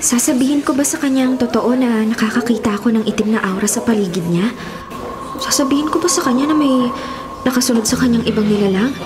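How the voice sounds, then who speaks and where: A woman's voice: on the high side, fast, a crowd in the background, close to an everyday voice. A woman playing a sassy, emphatic young college student with a Filipino accent in a radio drama. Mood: worried, secretive. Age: young adult. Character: sassy young female student who secretly sees spirits. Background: music bed.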